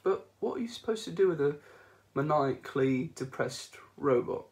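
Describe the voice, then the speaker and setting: A man's voice: sits high, lively intonation, fast, mellow, voice pushed up. A man voicing a skeptical male space traveler who asks questions with distaste. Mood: wry.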